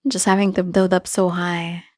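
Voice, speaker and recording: A woman's voice: on the low side, little pitch movement, normal speed, darkish tone. A woman giving a casual, unscripted video game tour commentary, hesitant and often searching for words. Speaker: gamer showing off own Minecraft village build.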